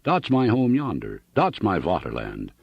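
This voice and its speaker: A sentimental, proud German immigrant farmer in middle age, as a male narrator puts him on, speaking thick German-accented broken English. The voice is deep and husky, with a lively rise and fall. The recording sounds dull and dry. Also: a little slow, no echo, heavily acted. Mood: reminiscing.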